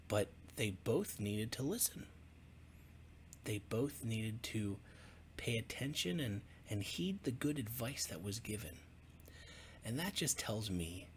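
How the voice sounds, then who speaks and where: A man, a pastor, narrating a bedtime story for children in a gentle, expressive way with deliberate phrasing. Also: mid-range voice, a little hiss or hum.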